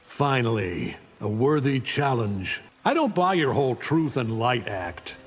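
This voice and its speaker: An actor playing an arrogant, menacing alien superhero conqueror, imperious and taunting, in a fighting game. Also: male voice, mid-range voice, big pitch swings, a little slow, big-room sound. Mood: contemptuous. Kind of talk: fighting game character dialogue.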